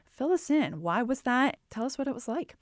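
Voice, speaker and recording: A woman's voice: high voice, expressive, moderate pace, no room sound, studio quality. A woman hosting a podcast, giving upbeat, friendly introductions and asking questions. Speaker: podcast host.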